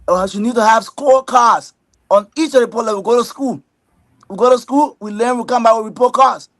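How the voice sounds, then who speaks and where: A man with a fairly high voice, recorded close and dry, laying out his points one by one in a steady rhythm. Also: fairly quick, no room sound. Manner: list-like, steady, repetitive phrasing. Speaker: panel guest. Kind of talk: prepared statement in a debate.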